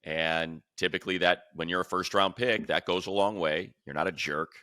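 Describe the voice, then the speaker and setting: A man's voice: sits low, steady tone, moderate pace, bright, forceful tone, dry recording, studio quality. A man hosting a sports podcast, talking through football opinions in a casual, conversational style. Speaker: sports podcast host and radio play-by-play announcer.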